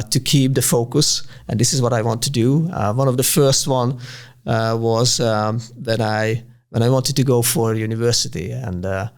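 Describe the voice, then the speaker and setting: A man with a Hungarian accent interviewing casually, hesitant and halting as he searches for words. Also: medium pitch.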